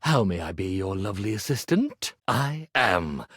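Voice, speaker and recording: A man's voice: lowish voice, very animated, moderate pace, a bit bright, breathy edge, no echo, clean studio sound, heavily acted. An actor voicing a vain male stage magician who is a living clothes iron in a video game, speaking in a grandiose, flamboyant showman's manner. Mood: flirtatious. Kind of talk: theatrical video game character acting.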